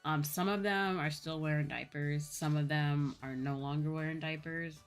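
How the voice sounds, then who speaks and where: A woman's voice: low voice, steady tone, normal speed, clean tone. A woman livestreamer chatting casually with viewers, explaining things conversationally and often repeating her points. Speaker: streamer, VTuber host chatting with viewers. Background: background music.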